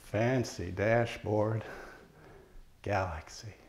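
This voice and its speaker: A man's voice: on the low side, fairly even intonation, extremely slow, darkish tone, pitched below the performer's own voice. A man playing a plain-spoken, wondering country man in a recited monologue, with a country accent and a simple, folksy, musing manner. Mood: folksy, dreamy. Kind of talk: memorized dramatic monologue recital. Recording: roomy echoing live pickup, faint noise.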